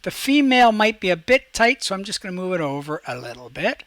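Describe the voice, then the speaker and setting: A man hosting a software tutorial as a teacher, talking through each step aloud in an instructional way. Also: high-pitched, big pitch swings, fast talker, no echo, studio quality.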